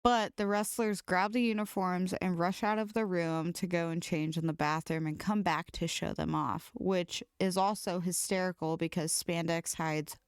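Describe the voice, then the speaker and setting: Smooth lower-voiced woman podcaster. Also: steady tone, moderate pace, hardly any pauses, dry recording, studio quality. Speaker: podcast host reading stories aloud. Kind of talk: casual comedic chat and story reading.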